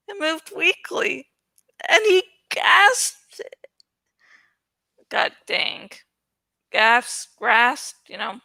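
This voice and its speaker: A woman's voice: extremely high pitch, bright, forceful tone, dry recording. A woman reading a storybook aloud in a dramatic style, an amateur narrator who pokes fun at her own slips. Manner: self-deprecating, stumbles and jokes about slips. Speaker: amateur audiobook reader. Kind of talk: dramatic storybook narration with self-mocking asides.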